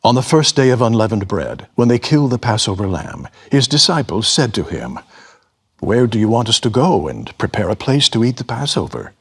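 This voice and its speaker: A man with a husky voice giving a solemn, expressive dramatic reading of scripture as a voice actor. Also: mid-range voice, normal speed.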